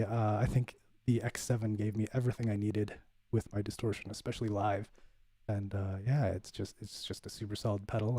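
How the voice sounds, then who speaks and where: A man hosting his own livestream, chatting casually with viewers in a relaxed, laid-back way, full of fillers and calling people "bud". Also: lowish voice, husky. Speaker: livestream host, bassist. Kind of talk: casual chat reading viewer comments.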